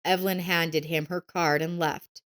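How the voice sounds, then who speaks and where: A woman's voice: fairly low pitch, fairly even intonation, measured pace, a bit bright. A woman narrator reading a scripted story.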